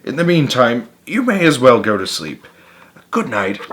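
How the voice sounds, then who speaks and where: A man's voice: medium pitch, very animated, normal speed, fairly bright tone, a little hiss or hum. A man voicing a wise old click beetle mentor, instructive and encouraging, in a storybook.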